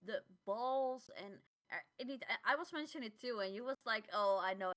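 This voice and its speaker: A woman co-hosting a podcast in casual chat, halting and repeating words as she finds her phrasing. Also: on the high side, fairly bright tone. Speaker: podcast co-host.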